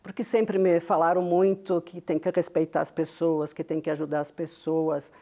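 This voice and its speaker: A woman's voice: fairly low pitch, level tone, moderate pace. Older woman with a heavy Italian-Venetian-tinged southern Brazilian accent. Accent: Italian-Venetian-influenced Brazilian Portuguese from Rio Grande do Sul. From southern Brazil (Rio Grande do Sul). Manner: warm storytelling, frequent tag questions. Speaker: guest, business founder. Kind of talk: interview.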